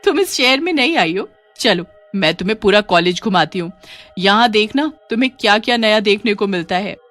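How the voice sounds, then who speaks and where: A woman's voice: mid-range voice, lively intonation, normal speed, fairly bright tone, faint music behind, lightly acted. A woman voicing a chatty college girl. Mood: friendly. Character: chatty, friendly young female college student. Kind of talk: Hindi story dialogue.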